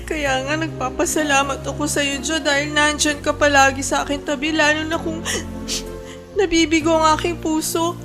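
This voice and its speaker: A heartbroken young Filipina acted by a woman pleads in a very high, plaintive voice with faint music behind her. She is tearful and trusting, in the style of a sentimental radio melodrama. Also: little pitch movement, moderate pace, close to an everyday voice. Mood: grateful, sniffly.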